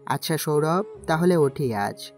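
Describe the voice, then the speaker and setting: A man's voice: a little high, lively intonation, unhurried. A man voicing a brooding, vengeful gangster in an audio story, curt and commanding. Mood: grim, resolute. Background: faint music.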